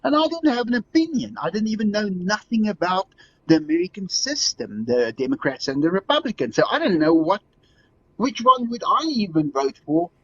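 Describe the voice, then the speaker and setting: A man's voice: high-pitched, big pitch swings, moderate pace, fairly bright tone, slightly rough, dry recording, lo-fi sound. A man from South Africa with a South African accent, calling into a show and rambling with restarts and laughs. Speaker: caller. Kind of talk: casual call-in chat.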